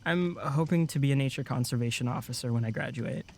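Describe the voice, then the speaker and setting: A man's voice: medium pitch, fast, gravelly, a little mellow. An actor playing a friendly, eager 21-year-old male camp counselor in an audio drama, good-natured and casual.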